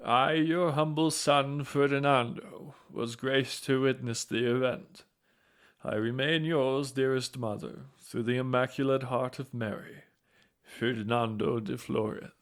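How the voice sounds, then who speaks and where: A man's voice: mid-range voice, steady tone, unhurried, lightly acted. A man playing a courteous priest and narrating an audio drama. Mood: courteous, measured.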